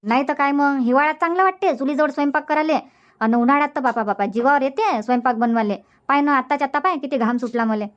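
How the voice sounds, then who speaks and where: A woman's voice: sits high, fast talker, close to an everyday voice. A woman voicing a chatty young village homemaker in a cartoon comedy, speaking colloquial Marathi with a Varhadi accent. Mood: complaining.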